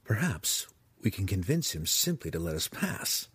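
A man plays a courteous dark elf warrior in a fantasy audiobook. His voice is husky and recorded dry, with a measured, cautious calm. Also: sits low, no room sound, full character voice. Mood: cautious, hushed. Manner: measured, cautious, often hushed.

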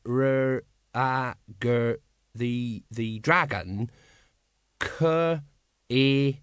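A man's voice: medium pitch, no room sound, studio quality, close to an everyday voice. Guy playing a stout tired sergeant, mumbly, grumbles up and down a lot. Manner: hesitant, mumbling. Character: stout weary middle-aged sergeant, grumbling.

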